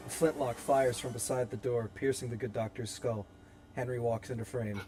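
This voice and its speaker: Man narrating a horror script, mid-pitched. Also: fairly even intonation, moderate pace, mellow, a little hiss or hum. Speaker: narrator reading stage directions.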